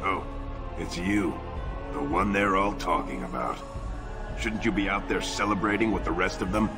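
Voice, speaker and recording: Deep, brusque dwarf. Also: male voice, normal speed, music in the background. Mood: brusque, suspicious. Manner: brusque, dismissive. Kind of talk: video game voice acting.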